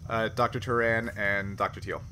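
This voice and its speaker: A man's voice: on the low side, fairly even intonation, moderate pace, sharp tone, a little hiss or hum. A man working as game master, narrating and refereeing a tabletop game in a casual style.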